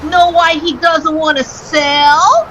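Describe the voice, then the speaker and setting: A woman's voice: sits high, fairly even intonation, slow pace, bright, forceful tone, exaggerated voice, low-quality recording. A woman playing a brash, eager young street boy with slangy talk in an old-time radio drama. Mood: matter-of-fact, cheeky. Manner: slangy, exclaiming kid talk. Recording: noticeable background noise.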